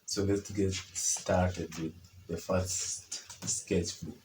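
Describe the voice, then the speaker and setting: A hoarse young man chatting informally as he critiques his old drawings, full of fillers. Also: sits low, steady tone, drawn-out words, darkish tone, reverberant, a little hiss or hum. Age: young adult. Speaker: artist. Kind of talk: casual art tutorial chat.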